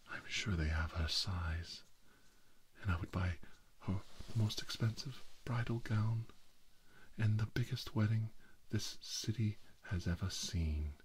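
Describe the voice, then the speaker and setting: A man voicing a middle-aged mad scientist villain, grandiose and obsessive, muttering haltingly to himself in an ASMR roleplay monologue. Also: lowish voice, slight background noise, close to an everyday voice. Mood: infatuated.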